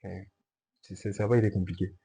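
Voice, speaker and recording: A chatty man hosts an interview with easy enthusiasm, jumping in with quick agreement and short asides. His voice sits fairly low, and his questions come out in a loose, conversational run. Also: steady tone, creaky, dark tone, breathy edge. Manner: chatty, eager, talks over the guest with quick agreement. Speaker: host. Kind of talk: casual interview.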